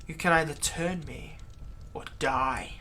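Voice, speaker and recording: Man acting a taunting thrall, bright forceful voice. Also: fairly high pitch, slow, slight background noise. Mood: menacing, determined. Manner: taunting, threatening, theatrical, drops into breathy whispers. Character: vengeful male thrall slave turning himself into a vampire. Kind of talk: roleplay audio acting, close ASMR monologue.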